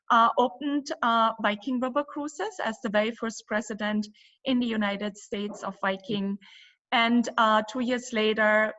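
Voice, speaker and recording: A woman's voice: a little high, fairly bright tone. A German-accented woman who owns a cruise company answers interview questions, enthusiastic and fluent, with frequent fillers. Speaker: river cruise company co-owner. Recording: muffled lo-fi audio.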